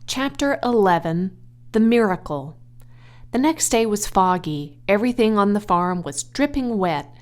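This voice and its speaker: Unhurried woman reading a children's story. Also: mid-range voice, expressive, darkish tone, a little hiss or hum. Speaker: audiobook reader. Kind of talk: expressive children's story narration.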